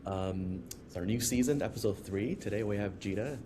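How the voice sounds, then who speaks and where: Low-voiced male podcast host, noisy recording. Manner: halting, informal, conversational. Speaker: podcast host, company co-founder. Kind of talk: casual interview hosting.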